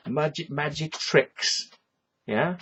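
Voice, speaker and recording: A man's voice: a little high, takes it slowly, fairly bright tone, highs cut off, slight background noise. A man with a Welsh accent delivering a rambling, swearing rant, tagging lines with "yeah" and "right". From Wales. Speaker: vlogger. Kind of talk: ranting monologue to camera.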